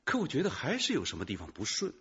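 A man's voice: medium pitch, wildly swooping pitch, fast, fairly bright tone, breathy edge, dull audio, exaggerated voice. An actor playing a doubtful, questioning male junior police detective in a radio drama.

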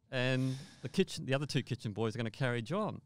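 A man's voice: medium pitch, expressive, brisk, dry recording. An older man telling a first-person story conversationally as a podcast guest, often repeating and rephrasing his words.

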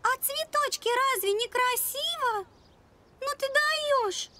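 Very high-pitched cartoon puppy girl. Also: slow, background noise. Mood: indignant. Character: cute girl puppy, sweet but stubborn. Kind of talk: animated cartoon voice acting.

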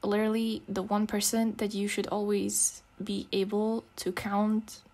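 A woman's voice: mid-range voice, little pitch movement, unhurried, a little mellow. A young woman speaking in a casual, intimate girlfriend roleplay, teasing, slangy and affectionate. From Eastern Europe. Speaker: ASMR roleplay performer playing a girlfriend.

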